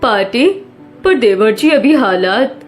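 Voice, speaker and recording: A woman's voice: fairly high pitch, animated, takes it slowly, soft background music. A woman playing a worried, long-suffering middle-aged mother in a plaintive cartoon story style. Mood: hesitant. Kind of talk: Hindi cartoon story acting.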